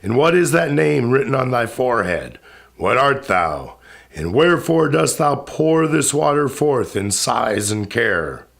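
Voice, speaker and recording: A man's voice: medium pitch, big pitch swings, measured pace, vocal fry, fairly bright tone, exaggerated voice. A man playing a wrathful, sorrowing ancient spirit king in a recited epic poem, speaking in a grand, commanding, declamatory way. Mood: imperious. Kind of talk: dramatic epic verse recitation.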